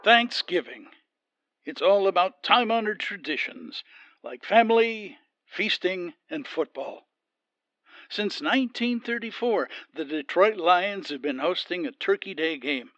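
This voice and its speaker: A man voicing an excitable play-by-play football announcer in an audio comedy. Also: sits high, unhurried, no echo, dull audio. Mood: booming, wry. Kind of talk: sports commentary in an audio comedy.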